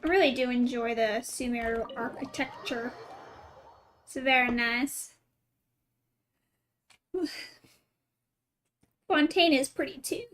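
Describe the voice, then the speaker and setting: A woman's voice: fairly high pitch, slow pace, long pauses, a bit bright, faint music behind. A woman giving casual, chatty gameplay commentary as a streamer, playfully reacting aloud and talking to herself. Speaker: video game streamer.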